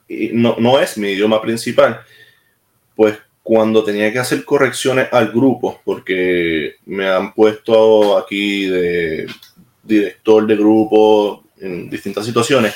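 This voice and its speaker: Puerto Rican man in an echoey room. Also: medium pitch. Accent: Puerto Rican Spanish. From Puerto Rico. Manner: direct, plain-spoken, with hesitant restarts. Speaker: military musician, interview guest. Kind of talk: casual interview talk.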